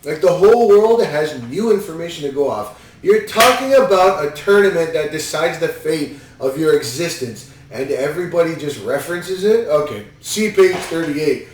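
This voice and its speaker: A man's voice: a little high, animated, moderate pace, hardly any pauses, slight background noise. A man hosting a podcast, giving casual, rambling and incredulous movie commentary. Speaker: podcast host reviewing a movie. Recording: echoey room, distant mic.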